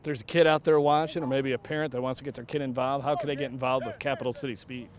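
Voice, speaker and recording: A man interviewing people on site for a local broadcast outdoors at a youth track meet, upbeat and quick with follow-up questions. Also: mid-range voice, level tone, a crowd in the background. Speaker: sports event interviewer.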